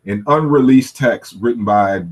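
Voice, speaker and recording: A man's voice: medium pitch, measured pace, breathy edge. A man lecturing through slides at a workshop, thinking aloud with many "you know" fillers and restarts. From United States.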